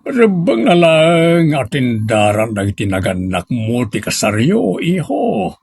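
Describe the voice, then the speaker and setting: A man's voice: on the high side, big pitch swings, slow pace, vocal fry, a little mellow, slight background noise, heavily acted. A man playing a stern, guarded middle-aged father of a bride in an audio drama. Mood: stern, doubtful. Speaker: drama actor. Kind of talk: Ilocano audio drama acting.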